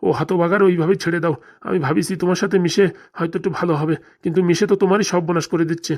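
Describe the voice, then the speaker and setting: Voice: medium pitch, steady tone, very fast, close to an everyday voice. A performer voicing an anxious, aggrieved middle-aged Bengali father in a comedy cartoon, lamenting and rambling in a rural dialect. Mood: bitter, pleading. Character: anxious, aggrieved father nearing retirement, fretting over lost money.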